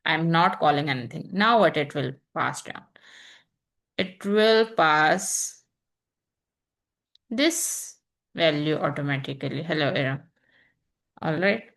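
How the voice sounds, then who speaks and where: A young woman teaching programming in an unhurried, think-aloud way, pausing and restarting as she types examples. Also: deep voice, expressive, creaky, a bit bright. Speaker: programming instructor. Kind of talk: screen-recorded coding tutorial.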